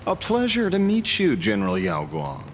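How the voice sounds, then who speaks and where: A man's voice: mid-range voice, huge pitch swings, moderate pace, slight background noise. A man playing a swaggering, theatrical space ranger leader in a game cutscene, teasing and flamboyant. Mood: suave, theatrical. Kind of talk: dramatic game cutscene acting.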